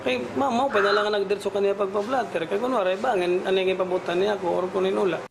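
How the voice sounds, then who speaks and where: A man's voice: high-pitched, fairly even intonation, fast, creaky. A man with a Waray accent from the Philippines giving a spontaneous account as a news interviewee. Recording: noisy on-location news interview recording.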